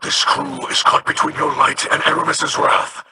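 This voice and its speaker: A man voicing a stern, weathered alien captain in a video game, speaking formally and deliberately with a gravelly voice. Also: a little high, sing-song, bright, forceful tone, slight background noise, full character voice. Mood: grave, urgent. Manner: slow, formal, deliberate speech. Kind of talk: video game character acting.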